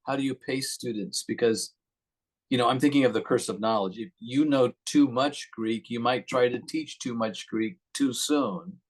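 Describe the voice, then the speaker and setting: A man hosting a conversational interview, putting questions in a halting, roundabout way. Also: medium pitch. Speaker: interviewer, host.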